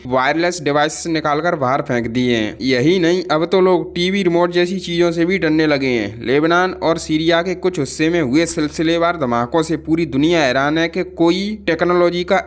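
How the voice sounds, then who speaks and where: A man reading a scripted news explainer as a narrator. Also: on the high side. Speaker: video narrator.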